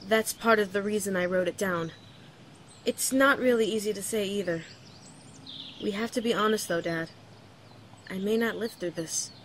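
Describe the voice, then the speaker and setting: A young woman playing a weary student and mother-to-be, gently and earnestly reading out a letter in an audio drama. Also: medium pitch, normal speed, pauses often, a little hiss or hum, natural voice. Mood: resigned, tender. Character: weary pregnant young student writing to her father. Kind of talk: letter read aloud in horror audio drama.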